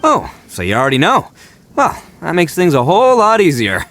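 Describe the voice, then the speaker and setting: A man's voice: a little high, wildly swooping pitch, moderate pace, a bit bright, exaggerated voice. A man voicing a brash, earnest young oni gang boss in a video game, with a boisterous, informal, emphatic way of talking. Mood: proud storytelling. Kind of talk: animated video game character acting.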